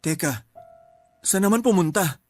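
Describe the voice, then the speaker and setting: A man's voice: high-pitched, a little slow, breathy edge, dry recording, soft background music. Puzzled young Filipino man. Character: puzzled young man at a wake, watchful friend. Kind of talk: Filipino radio drama acting.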